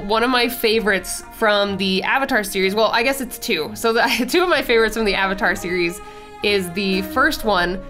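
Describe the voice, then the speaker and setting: Chatty young woman, slightly nasal. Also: mid-range voice. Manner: chatty, rambling fan talk. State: congested from a cold. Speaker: art channel host. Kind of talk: casual chat over drawing.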